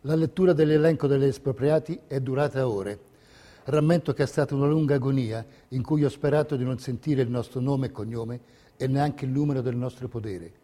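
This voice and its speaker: A man narrating a documentary theatre piece, reading a script in measured, carefully separated phrases. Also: medium pitch, dark tone.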